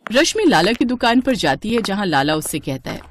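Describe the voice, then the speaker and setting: A woman's voice: medium pitch, very animated, brisk, a little hiss or hum. A woman reading a scripted moral story as its narrator.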